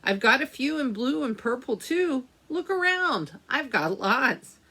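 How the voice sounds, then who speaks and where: A woman's voice: high-pitched, very animated, measured pace, pushed and bright, breathy edge, full character voice. A woman voicing an emphatic, chatty female fairy shopkeeper in a children's storybook, exclaiming and stretching her words. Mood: emphatic, apologetic.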